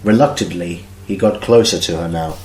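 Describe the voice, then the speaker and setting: Low-voiced man narrating, roomy sound. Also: moderate pace, darkish tone. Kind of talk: scripted story narration of fan audio drama. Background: music.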